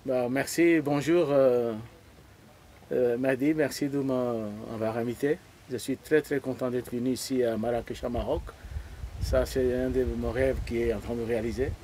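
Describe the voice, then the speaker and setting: A man of about seventy, from Pakistan and speaking, answering interview questions in an earnest, halting way and often repeating words as he searches for them. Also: medium pitch, fairly even intonation, warm tone, a little hiss or hum, low-quality recording. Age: around 70. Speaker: interview guest.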